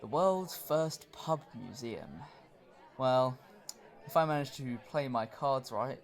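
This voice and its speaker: A man's voice: medium pitch, slow. A man acting a sneering, threatening rival developer in a comedy audio drama. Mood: sneering, menacing.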